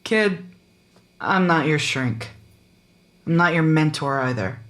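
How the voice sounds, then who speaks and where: A woman playing a gruff, jaded small-town sheriff in an audio drama, with a blunt, dry, world-weary manner. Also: sits low, lively intonation, takes it slowly, fairly bright tone, full character voice.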